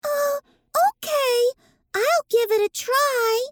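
A woman voicing a small, eager, excitable bunny girl with a squeaky childlike voice in a children's bedtime story. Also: slow pace.

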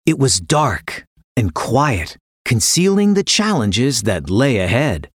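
A woman narrating a scripted children's story in an expressive, dramatic way. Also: extremely low pitch, slow pace, no echo, studio quality.

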